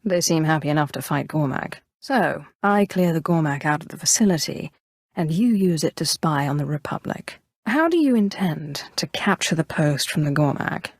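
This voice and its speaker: A commanding Sith sorceress from a video game, speaks in a fairly low register. She is cold and haughty, choosing her words with calculated deliberation. The sound is close and dry. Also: lively intonation, moderate pace, no room sound. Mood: controlled, dry. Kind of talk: video game character dialogue.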